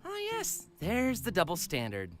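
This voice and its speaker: Mocking young pal, visual novel game, dry wit, animated intonation, music underneath. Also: mid-range voice, a little slow. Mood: wry teasing.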